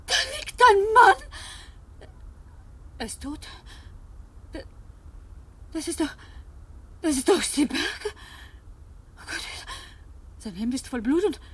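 A woman's voice: very high-pitched, big pitch swings, slow, pauses often, fairly bright tone, full character voice. An actress playing a nervy, cold-blooded woman who talks to herself theatrically in a 1970s German radio play. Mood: shocked. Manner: theatrical, talking to herself in exclamations. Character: Françoise, cold-blooded nervous young Parisian blackmail victim turned killer.

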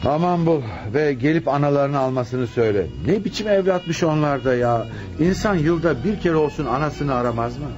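An actor in a radio drama playing a gruff but decent neighbour husband, husky, opinionated and disapproving. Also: male voice, a little high, expressive, moderate pace, over music, close to an everyday voice. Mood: indignant. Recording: dull lo-fi radio recording.

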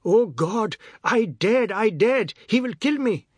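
A man's voice: mid-range voice, big pitch swings, normal speed, husky, dry recording, clean studio sound. A man voicing a beleaguered, self-pitying, sardonic man in an audiobook story. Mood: terrified bawling.

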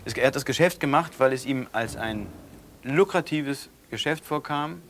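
A man's voice: medium pitch, moderate pace, fairly bright tone, a little hiss or hum, natural voice. An actor playing a defensive, evasive man who stammers and restarts, in a naturalistic radio drama. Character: defensive, evasive man questioned about a business deal.

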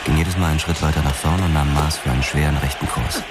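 An actor playing a laconic ex-boxer policeman who narrates a radio drama in measured, matter-of-fact storytelling. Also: very deep voice, steady tone. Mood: laconic, tense. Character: laconic young male ex-boxer cop, first-person narrator. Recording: loud music behind the voice.

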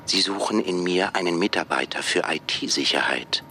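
A super deep male ai, bright crisp edge, formal and probing, radio play, noise behind. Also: moderate pace. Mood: probing, composed. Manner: formal, pointed questioning. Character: probing, unflappable male AI system posing as job applicant. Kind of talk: radio drama acting.

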